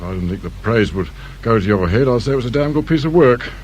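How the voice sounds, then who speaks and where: An actor playing a gruff, world-weary older police inspector with a British accent, speaking drily and sardonically in radio drama style. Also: male voice, mid-range voice, animated, quick pace, darkish tone, highs cut off, close to an everyday voice. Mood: sardonic, brisk. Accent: British English. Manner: dry, grumbling, clipped questioning. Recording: hissy old radio drama recording.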